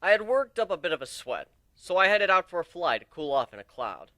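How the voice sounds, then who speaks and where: A man's voice: high-pitched, sing-song, fairly quick, pushed and bright, dry recording. A man giving an amateur dramatic reading of a first-person fantasy adventure story.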